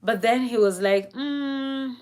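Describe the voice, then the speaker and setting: A young woman from East Africa telling a personal story on a live stream in a reflective, unhurried way, with frequent fillers and soft laughs. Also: on the high side.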